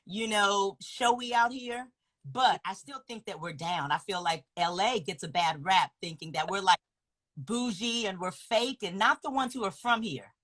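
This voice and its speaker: A woman from Los Angeles hosting a casual interview, animated and slangy with lots of encouraging interjections. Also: mid-range voice, normal speed. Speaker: host.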